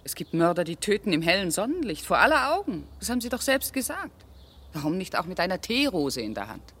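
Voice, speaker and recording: Self-possessed lady, sharp-witted, articulate, radio crime play, widely varied intonation. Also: on the low side, big pitch swings, fairly quick, husky, exaggerated voice. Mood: composed, challenging. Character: composed, sharp-witted woman pianist living alone. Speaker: actress playing a woman questioned by police. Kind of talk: German radio crime drama acting.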